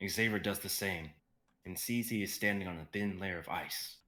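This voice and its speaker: Low, bright-voiced young man reading. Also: normal speed. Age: young adult. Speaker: narrator of a dramatic reading. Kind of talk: live script reading, stage directions.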